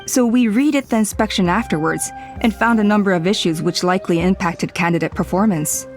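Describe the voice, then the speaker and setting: Woman playing a polite formal tribe elder in a game, calm middle voice, light music behind. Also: mid-range voice, natural voice. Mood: earnest. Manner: formal, measured, apologetic.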